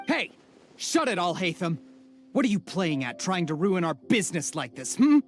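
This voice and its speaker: Sneering, zealous desert thug. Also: male voice, sits high, big pitch swings, normal speed, faint music behind. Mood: angry, threatening. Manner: preachy, sneering, threatening. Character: zealous, hot-tempered desert mercenary swindler, menacing and contemptuous. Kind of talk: video game voice acting.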